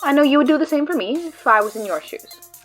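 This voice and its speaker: A woman's voice: sits high, lively intonation, fast talker, soft background music. A woman playing a brash, teasing and loyal friend who reassures and boasts. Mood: reassuring. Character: Rizara, confident loyal friend and card gamer. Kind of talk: fan audio drama acting.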